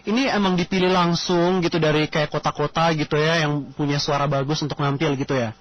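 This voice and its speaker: A man's voice: on the high side, steady tone, fairly quick, dull audio. A man hosting a casual radio phone interview in a chatty, colloquial, gushing way.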